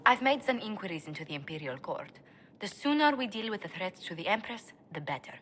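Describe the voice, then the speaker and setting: A poised court diplomat. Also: female voice, medium pitch, sing-song, fairly bright tone, soft background music. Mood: grave. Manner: poised, measured, formal. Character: poised female court diplomat, formal and careful. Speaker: diplomat advisor in a fantasy game. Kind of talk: video game dialogue acting.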